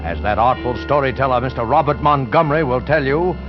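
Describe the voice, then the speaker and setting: An actor playing a mysterious, ominous narrator, dramatic and formal, in 1940s radio suspense style. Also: male voice, mid-range voice, brisk, close to an everyday voice. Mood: ominous, solemn. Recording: dull 1940s radio recording. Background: prominent music.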